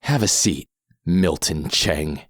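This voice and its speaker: An actor playing a calm masked mercenary in an audio drama, measured, unhurried and coolly threatening. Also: male voice, a bass voice, dry recording, clean studio sound, heavily acted. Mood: calm, commanding. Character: calm masked mercenary man, cool and menacing.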